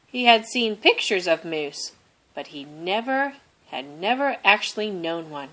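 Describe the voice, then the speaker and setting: A woman reading a children's story aloud expressively, deliberately stressing phrases. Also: on the low side, animated, bright, forceful tone, low-quality recording. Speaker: storybook reader.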